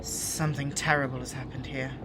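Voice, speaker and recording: A woman's voice: a bass voice, little pitch movement, brisk, noisy background. A woman playing an earnest, persuasive exiled shapeshifter in a tabletop roleplay.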